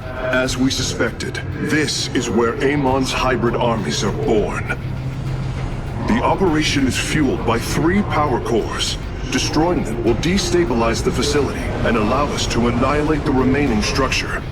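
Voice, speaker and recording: A man's voice: mid-range voice, moderate pace, natural voice. A man voicing an earnest alien engineer in a video game, formal and precise. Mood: focused. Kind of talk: dramatic game cutscene acting. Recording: loud music behind the voice.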